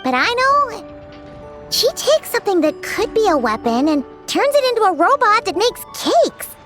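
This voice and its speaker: A woman voicing a small chirpy fairy companion in a video game, with a squeaky childlike voice, curious and earnest. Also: sing-song, normal speed. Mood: earnest, puzzled. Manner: refers to self in third person, exclaiming. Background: music.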